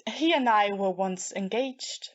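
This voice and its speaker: A woman's voice: medium pitch, a little slow, lo-fi sound, lightly acted. A woman in an audio play acting a bitter, drunken and volatile jilted young woman with a taunting manner.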